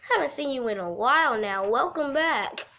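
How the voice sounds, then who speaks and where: A woman's voice: high voice, lively intonation, normal speed, lo-fi sound. A giggly woman hosting a casual game livestream, chatting and reading aloud from a visual novel. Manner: giggly, breaks off into laughter. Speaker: livestream host.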